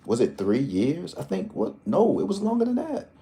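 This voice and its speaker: A man's voice: mid-range voice, huge pitch swings, moderate pace, mellow. A man hosting a lottery tips vlog, talking in a casual, joking, rambling way full of slang and asides.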